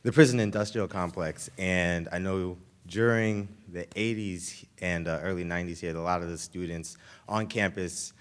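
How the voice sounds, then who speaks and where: Young man, student at the mic, low voice, hesitant. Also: fairly even intonation, normal speed, smooth. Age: young adult. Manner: hesitant, filler-heavy. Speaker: student asking a question. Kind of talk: audience question.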